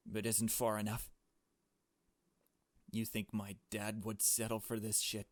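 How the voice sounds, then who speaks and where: A man's voice: on the low side, monotone, a little slow, dry recording, lightly acted. Casting: male reader as impatient young hothead for a visual novel, brash and sarcastic, swearing freely, dry recording. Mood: impatient, threatening. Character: hot-tempered, foul-mouthed, impatient young male accomplice.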